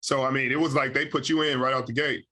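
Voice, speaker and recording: A man in his thirties hosting a podcast interview, talking in a relaxed, slangy way with lots of "you know.". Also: medium pitch, quick pace. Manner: laid-back, slangy, frequent "you know". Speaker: podcast host. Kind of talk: casual interview chat.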